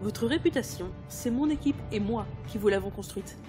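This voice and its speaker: A woman playing a sharp-tongued, sardonic book editor in an audio drama. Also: on the high side, normal speed, lightly acted. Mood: scornful, exasperated. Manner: cutting, sardonic. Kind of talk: French audio drama acting. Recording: music behind the voice.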